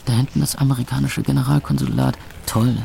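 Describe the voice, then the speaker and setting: A man's voice: mid-range voice, steady tone, fast, gravelly, mellow, slight background noise. An actor playing a disillusioned young man who narrates his inner monologue in an audio drama, sardonic and clipped. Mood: wry, observing. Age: young adult. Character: wry, disillusioned young night-life narrator thinking aloud.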